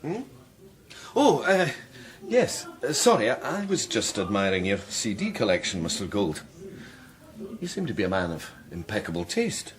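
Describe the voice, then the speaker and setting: An actor playing a courteous, hesitant Scottish psychic detective in a radio drama, gently probing as he talks. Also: fairly low pitch, wildly swooping pitch, moderate pace. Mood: flustered, polite. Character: polite, hesitant male psychic detective, music lover.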